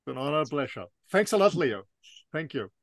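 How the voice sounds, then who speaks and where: A man with a German accent hosts a business podcast interview in English. He speaks at an average pitch and often halts and repeats words. The sound is dry and close, with little room around it. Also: very animated, brisk, dry recording. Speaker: podcast host. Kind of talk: interview and sign-off.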